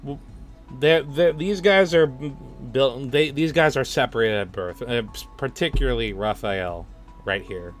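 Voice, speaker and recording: A man's voice: medium pitch, unhurried. A man hosting a casual livestream, speaking hesitantly with stammers and a giggly manner over a music playlist. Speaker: livestream host.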